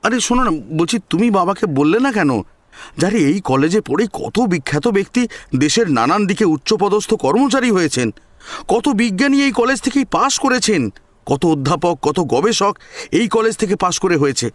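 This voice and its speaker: A man's voice: sits high, expressive, normal speed, no room sound. A man playing an eager, lovestruck young college student, coaxing and flustered, in an audio story. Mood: flustered, worried. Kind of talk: melodramatic audio story acting.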